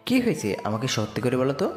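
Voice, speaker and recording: Echoey, lively young man telling his story as an actor. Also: medium pitch, quick pace, close to an everyday voice. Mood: worried. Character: poor young slum boy, humble, earnest, narrating his story. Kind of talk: romantic audio story narration and dialogue. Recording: echoey reverb with music bed. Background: music.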